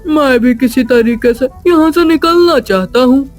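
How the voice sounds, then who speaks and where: A performer voicing a concerned, earnest character in an animated children's story. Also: high voice, measured pace, soft background music. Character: worried grandfather, and a captive little genie.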